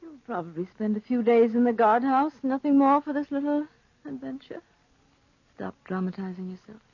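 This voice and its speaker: A woman's voice: on the high side, moderate pace, gravelly, no echo. A woman playing an alluring, cool young woman in a 1940s radio drama, theatrical and teasing, with scornful lines. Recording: muffled, dry 1940s radio recording.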